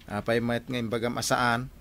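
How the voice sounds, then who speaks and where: A man playing a weary, mildly exasperated young schoolteacher son in a radio drama, expressive and complaining. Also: mid-range voice, normal speed, creaky. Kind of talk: radio drama acting in rural family comedy.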